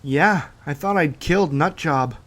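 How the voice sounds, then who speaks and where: Guy as a little chatty robot, high bouncy voice, bit boastful, noisy ambience. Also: a little slow, a bit bright. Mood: chirpy. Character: small, breezy, boastful old-fashioned male robot. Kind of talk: audio drama acting.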